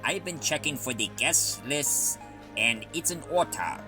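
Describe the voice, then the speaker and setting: An actor playing a suspicious, officious Nazi officer in a fan audio drama, with a barking, accusing manner. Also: male voice, mid-range voice, lively intonation, unhurried, music in the background, natural voice. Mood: concerned.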